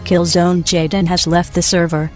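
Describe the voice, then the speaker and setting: Automated server announcer voiced by a man, high pitch, formulaic notices, music underneath. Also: little pitch movement, moderate pace, a little mellow, close to an everyday voice. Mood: neutral. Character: flat voice-chat server announcer program. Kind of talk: automated notifications in a comic radio play.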